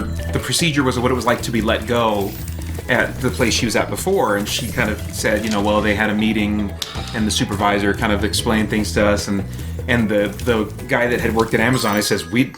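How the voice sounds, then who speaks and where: Man chatting casually over clear game music. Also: fairly low pitch, steady tone, a little fast. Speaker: livestream host. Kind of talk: casual chat while streaming a video game.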